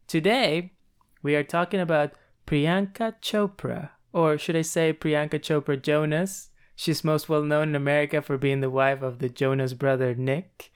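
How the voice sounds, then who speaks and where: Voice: medium pitch, steady tone, moderate pace, clear, resonant tone. A podcast host reading a rehearsed, upbeat introduction and then interviewing a guest.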